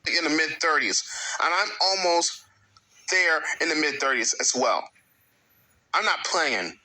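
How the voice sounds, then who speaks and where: A man around thirty talking to his own channel's viewers, with halting speech, broken grammar and lots of repeats. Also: a little high, animated, bright, forceful tone, low-quality recording. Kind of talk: speaking to camera in his own video.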